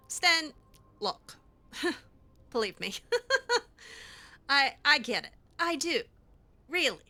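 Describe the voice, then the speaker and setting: A woman's voice: sits high, wildly swooping pitch, extremely slow, long pauses, sharp tone, soft background music. A woman improvising in character for a game roleplay, sardonic and hesitant, given to sighing. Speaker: gamer voicing her own character in a roleplay playthrough.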